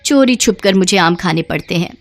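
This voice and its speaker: A woman's voice: lowish voice, lively intonation, fairly quick, faint music behind. Woman acting an exasperated, grumbling mother-in-law. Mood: complaining. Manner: grumbling, long-suffering. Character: exasperated middle-aged mother-in-law, scheming and put-upon.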